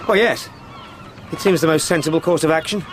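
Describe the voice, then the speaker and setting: An actor playing an eager, polite young English solicitor in a period radio drama, formal and chatty in manner. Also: male voice, fairly high pitch, fairly quick, slight background noise, natural voice. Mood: polite, brisk. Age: young adult. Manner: well-spoken, formal, chatty.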